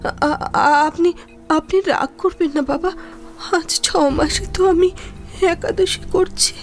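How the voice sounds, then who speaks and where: An actress playing a timid, dutiful young widowed daughter-in-law in a Bengali audio drama, hesitant and deferential with a Bengali accent. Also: female voice, very high-pitched, steady tone, measured pace, soft background music. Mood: anxious, pleading. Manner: hesitant, stammering, pleading, deferential.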